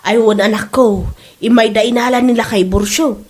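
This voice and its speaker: A woman's voice: fairly high pitch, fairly even intonation, moderate pace, a little mellow, lightly acted. A woman playing a caring, apologetic village mother in an amateur comedy drama, with a Filipino Ilocano accent. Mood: concerned.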